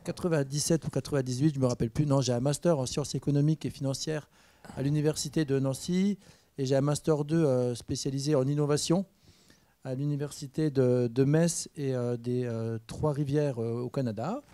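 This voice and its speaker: A man's voice: medium pitch, fairly quick, no room sound. Joking French man on a panel. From France. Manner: relaxed, joking, many fillers. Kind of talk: conference panel talk. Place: university conference room. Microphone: handheld mic.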